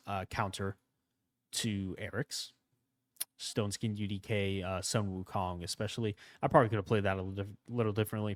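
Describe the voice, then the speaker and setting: Guy hosting a gaming channel, a bit hoarse, rambling along as he plays, lowish voice, dry close sound. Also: no room sound. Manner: rambling, relaxed, thinking aloud. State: slightly hoarse from a starting cold. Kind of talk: casual gameplay commentary.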